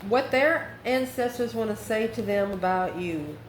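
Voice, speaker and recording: A woman of medium pitch gives an off-the-cuff tarot reading to her viewers. She talks conversationally, in short phrases, as if working out each card aloud. The room echoes around her voice, with faint noise underneath. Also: lively intonation, unhurried. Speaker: tarot reader, channel host. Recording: roomy echo, faint background noise.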